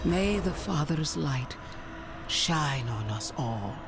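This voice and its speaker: Slow, imperious church matriarch. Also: female voice, low-pitched, expressive, noisy background, heavily acted. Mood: solemn, conciliatory. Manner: formal, solemn, deliberate. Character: stern, imperious woman leader of a zealous church. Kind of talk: dramatic fantasy game cutscene acting. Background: music.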